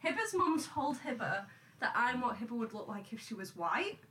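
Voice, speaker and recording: A woman's voice: fairly high pitch, very animated, moderate pace, sharp tone, a little hiss or hum. A young woman chatting casually with friends as a podcast co-host, with a playful, teasing manner. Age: young adult. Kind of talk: casual group chat.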